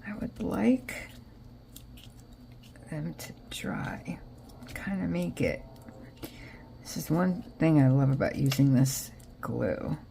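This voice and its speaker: A woman's voice: deep voice, lively intonation, slow pace, gravelly, pauses often, mellow, slight background noise. A woman with an American accent chatting casually as she narrates a craft project, rambling and thinking aloud, often trailing off. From Israel, raised in Connecticut, US. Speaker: craft vlogger.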